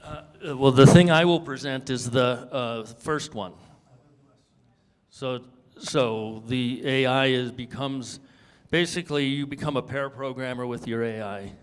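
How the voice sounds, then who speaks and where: A man's voice: mid-range voice, measured pace, clean tone. Man explaining in a reverberant hall. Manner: explanatory, thinking aloud. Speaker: panelist. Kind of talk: panel discussion.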